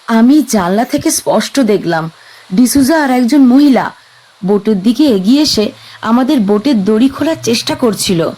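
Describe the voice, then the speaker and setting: A young woman in a horror radio play, frightened and insistent, her voice high and pressing, played by an actress. She sounds urgent and on edge throughout. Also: lively intonation, moderate pace, warm tone. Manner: high, urgent and tense delivery. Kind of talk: audio drama acting.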